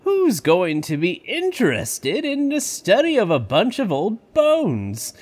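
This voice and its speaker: A man's voice: high voice, wildly swooping pitch, slow pace, darkish tone, full character voice. A man voicing an elderly male park founder who is boastful and chuckles as he talks, in an audio drama. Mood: proud. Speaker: voice actor. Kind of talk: fan audio drama acting.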